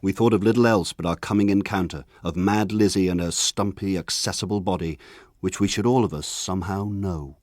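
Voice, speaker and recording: An actor playing a grown man who narrates his rough village boyhood in a measured, wry radio drama style. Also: sits low, a little mellow, no echo, clean studio sound, close to an everyday voice. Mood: wry, reflective. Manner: measured, wry, lyrical phrasing. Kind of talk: scripted radio drama narration, literary storytelling.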